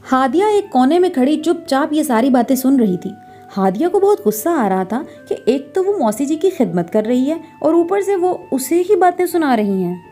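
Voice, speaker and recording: A woman storyteller reads scripted narration in a high, mellow voice. Music plays beneath her as she tells a domestic tale. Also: sing-song, normal speed.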